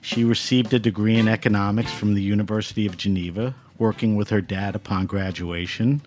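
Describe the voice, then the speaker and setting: A man hosting a wine interview show, brisk and friendly as he prompts his guest. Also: fairly low pitch, steady tone, a little mellow, soft background music. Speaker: wine podcast host. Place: radio studio.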